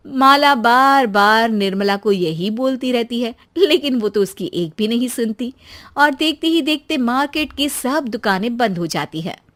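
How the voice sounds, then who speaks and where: Female narrator for a scripted moral story, voice on the high side. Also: lively intonation, a little slow, a bit bright.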